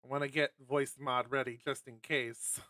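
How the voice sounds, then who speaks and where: A man's voice: medium pitch, little pitch movement, normal speed, sharp tone, dry recording. A man hosting a live stream, chatting casually with friends and reading a visual novel's text aloud with rambling asides. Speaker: streamer host.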